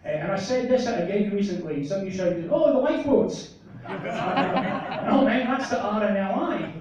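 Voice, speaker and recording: A middle-aged man from northeast Scotland with a northeast Scottish accent, doing a deadpan, self-mocking stand-up comedy set in a small club. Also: high voice, normal speed, muffled recording, background noise. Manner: deadpan, self-mocking, gestures a lot while talking. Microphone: handheld mic, filmed from far back in the crowd. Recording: echoey club from far off, crowd laughter.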